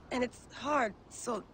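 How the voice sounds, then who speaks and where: A woman's voice: fairly high pitch, fairly bright tone, a little hiss or hum, full character voice. A woman acting as a stubborn, ambitious researcher in a video game, terse and self-assured. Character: headstrong, ambitious female researcher, stubborn and impatient.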